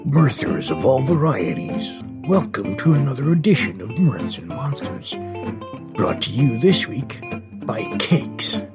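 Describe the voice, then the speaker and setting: A man hosting a podcast with scripted comedic narration, husky in voice and playful, punning and theatrical in delivery. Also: mid-range voice, big pitch swings, unhurried. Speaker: podcast host. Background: faint music.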